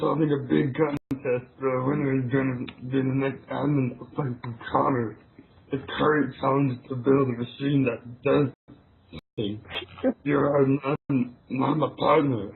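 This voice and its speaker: Guy with a creaky voice doing a casual group read, tinny call, some hiss. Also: mid-range voice, flat delivery, measured pace. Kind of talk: amateur group role reading with casual chat.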